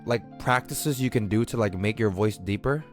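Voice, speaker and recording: A man's voice: on the low side, moderate pace, faint music behind. A man livestreaming a game with casual, rambling, slangy commentary. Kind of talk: casual gameplay commentary to chat.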